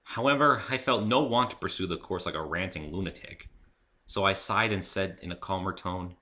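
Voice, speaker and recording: A man reading his own story aloud in the first person, in an expressive, theatrical narrating style. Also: low voice, moderate pace.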